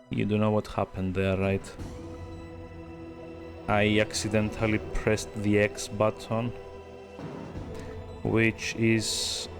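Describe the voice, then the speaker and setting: Slow-talking, hesitant male game streamer. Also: fairly low pitch, vocal fry. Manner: hesitant, rambling, dryly sarcastic. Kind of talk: casual live-stream commentary.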